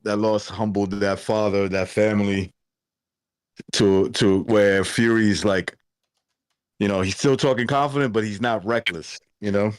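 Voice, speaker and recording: A man's voice: on the low side, fairly even intonation, a little slow, clean tone, no echo. A man co-hosting a boxing podcast with short joking interjections.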